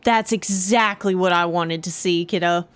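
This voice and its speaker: A woman's voice: fairly low pitch, normal speed, clean tone, no echo. A woman livestreamer giving casual play-along commentary on a game. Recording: stream voice over game music. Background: game music.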